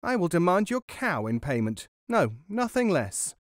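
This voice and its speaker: A small, self-important mouse from a storybook, played by a male reader. The voice is lifted high and swings about with pompous indignation, declaiming every line as if to an inferior. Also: expressive, moderate pace, darkish tone, no echo, studio quality. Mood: indignant. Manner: pompous, declamatory, grandiose wording. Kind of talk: storybook character voice.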